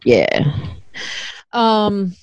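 A woman's voice: mid-range voice, sing-song, extremely slow, smooth, dry recording, low-quality recording. A middle-aged woman hosting a podcast in casual chat, candid and self-deprecating, swearing freely. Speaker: podcast host.

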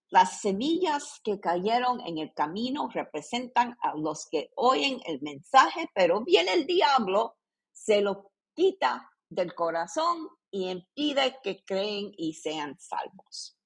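A woman with a Puerto Rican accent, hosting a religious podcast with scripted devotional narration and scripture readings in a deliberate, earnest manner. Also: medium pitch, very animated, breathy edge. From Puerto Rico.

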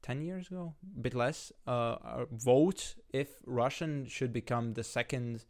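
A man's voice: medium pitch, a little slow. A man from Latvia with a Latvian accent talks casually and hesitantly, hedging and searching for words as he explains his view. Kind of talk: casual discussion.

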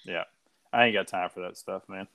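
A man's voice: sits low, steady tone, fairly quick, gravelly, fairly bright tone, no echo. A man co-hosting a podcast in casual chat, joking, teasing and laughing often. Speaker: podcast co-host.

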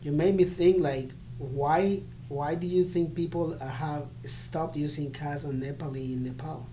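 A male interviewer asks curious, gently probing questions. His voice sits a little higher than most men's. A soft hum lies behind the recording. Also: steady tone, measured pace. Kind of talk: casual interview.

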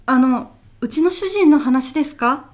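A woman playing an elderly widow in an audio comedy sketch, polite and soft-spoken, with deadpan, bewildered reactions. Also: high voice, a little slow. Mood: bewildered, exasperated.

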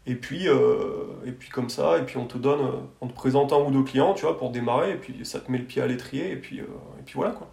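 A man's voice: medium pitch, a little fast, gravelly, few pauses, darkish tone. A man from France talking casually as an interview guest, telling his story in a hesitant, colloquial way full of verbal tags. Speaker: architect, podcast guest. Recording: roomy echoing sound.

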